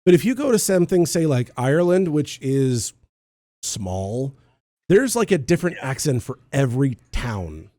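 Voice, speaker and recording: Man, American accent, knowledgeable co-host, casual explanation, lively melody, close dry sound. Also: medium pitch, moderate pace, dry recording. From United States. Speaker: podcast co-host. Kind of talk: casual explanatory chat about a TV show.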